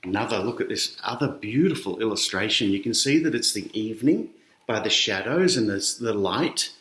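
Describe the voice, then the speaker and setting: A man's voice: on the low side, animated, normal speed, fairly bright tone. A middle-aged Australian man reading a picture book aloud to children, chatting to his young listeners along the way. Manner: addresses children directly with questions and explanations. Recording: roomy, echoey indoor sound.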